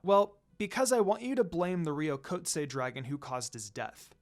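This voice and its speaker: A storyteller voicing a gentle, earnest teenage girl in a fan fiction reading, soft but determined. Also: mid-range voice, big pitch swings, normal speed, lightly acted. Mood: pleading.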